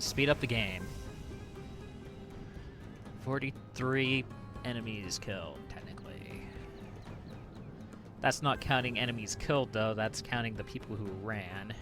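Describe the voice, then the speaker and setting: A man giving casual let's play commentary on a video game, thinking aloud in a wry, resigned way. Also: mid-range voice. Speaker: gaming channel host.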